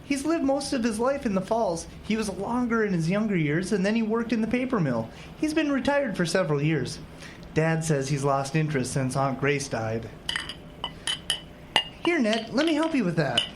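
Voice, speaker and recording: A woman's voice: mid-range voice, big pitch swings, moderate pace, lightly acted. A woman playing a cheerful, patient young small-town husband in an audio drama, upbeat and reassuring. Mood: earnest. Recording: noticeable background noise.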